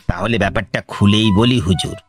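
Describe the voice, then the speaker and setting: An actor playing a wily village grain trader who flatters and pleads long-windedly in a radio comedy. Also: male voice, fairly low pitch, fairly quick, faint music behind, natural voice. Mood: pleading, wheedling. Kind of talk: Bengali radio comedy drama acting.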